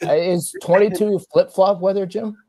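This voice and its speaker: A man's voice: high voice, fairly even intonation, moderate pace, dark tone. A man in a casual chat who asks the others playful questions.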